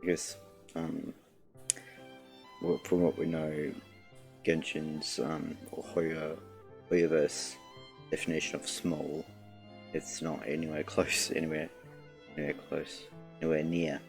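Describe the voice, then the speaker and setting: Very deep gravelly streamer man. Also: completely flat delivery, soft background music. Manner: rambling, halting, fillers. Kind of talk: casual live-stream commentary.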